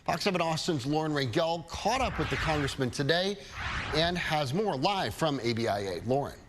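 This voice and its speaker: A man's voice: fairly high pitch, animated, moderate pace, noisy background. A man anchoring TV news, reading a scripted introduction to a report.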